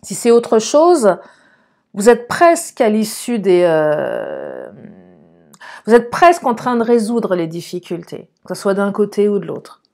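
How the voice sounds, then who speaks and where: A slightly hoarse woman gives an informal love card reading, chatting to viewers and thinking aloud. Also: medium pitch, very animated, a little slow, mellow. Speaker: online love card-reading guide and host.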